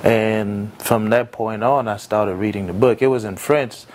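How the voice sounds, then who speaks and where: A young man born in Haiti and raised in America, answering interview questions in an earnest, reflective way with frequent pauses and fillers. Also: lowish voice, fairly even intonation, a little mellow. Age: young adult. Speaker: entrepreneur interviewee.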